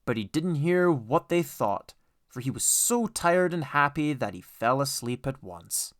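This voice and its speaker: Expressive man narrating a children's story. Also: medium pitch, a little slow. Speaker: audiobook narrator.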